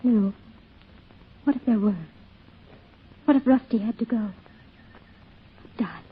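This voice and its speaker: Tinny, narrow-band old radio recording of a protective mother. Her voice is slightly high and husky, with an anxious, earnest, pleading delivery in vintage radio drama style. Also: slow, exaggerated voice. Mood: worried, pleading.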